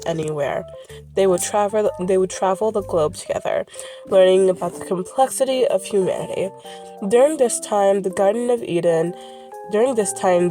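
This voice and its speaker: A woman's voice: mid-range voice, darkish tone. A woman who is sick and sniffling, her voice congested, talking casually about her characters, often correcting herself and drifting off script. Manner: rambling, self-correcting, goes off script. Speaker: creator presenting her characters. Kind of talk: casual rambling vlog commentary.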